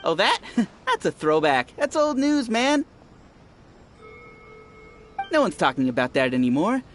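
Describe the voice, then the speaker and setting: A man's voice: high voice, wildly swooping pitch, a little slow, fairly bright tone, soft background music, exaggerated voice. A man voicing a smug, dismissive young townsman in a video game who boasts about knowing the latest trend.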